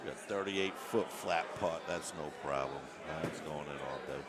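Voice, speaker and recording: Low-voiced man commentating over loud crowd. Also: moderate pace. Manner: relaxed, self-deprecating, frequent restarts and fillers. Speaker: volunteer commentator at a tournament. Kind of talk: casual live-stream commentary. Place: busy tournament venue full of players. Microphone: on camera at the event. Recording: loud crowd chatter behind.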